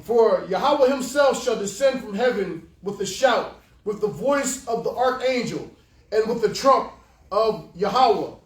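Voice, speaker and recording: A man's voice: high-pitched, lively intonation, unhurried. A man reading scripture aloud as the reader in a religious class. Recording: echoey room, distant mic.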